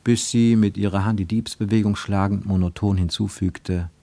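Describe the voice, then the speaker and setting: A man narrating a radio drama in a measured, deliberate storytelling manner, recorded in a studio. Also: on the low side, steady tone, dark tone, no echo. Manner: measured, deliberate storytelling with slowed emphatic phrases.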